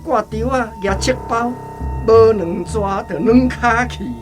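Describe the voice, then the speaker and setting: A woman's voice: fairly high pitch, unhurried, lightly acted. A woman voicing a village joker in a radio drama, jeering at first, then apologizing sheepishly. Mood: mocking. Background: music.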